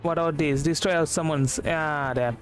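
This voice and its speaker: A man's voice: fairly high pitch, fairly even intonation, normal speed. A man giving casual game stream commentary, musing aloud and repeating himself hesitantly. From India. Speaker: livestreamer. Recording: game music behind the voice. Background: game music.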